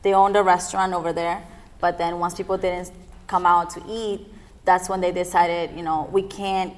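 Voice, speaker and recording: A woman's voice: lowish voice, flat delivery, moderate pace. A young woman from Peru, a youth immigrant activist, giving earnest interview answers with frequent ums. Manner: earnest, many fillers.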